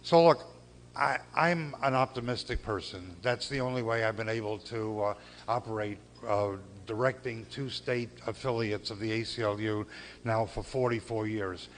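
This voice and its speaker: A man's voice: lowish voice, slight background noise. Older man giving an earnest speech. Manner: earnest, measured oratory with pauses and repetition. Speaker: civil rights lawyer giving a gala speech. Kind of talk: speech at a gala. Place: banquet hall.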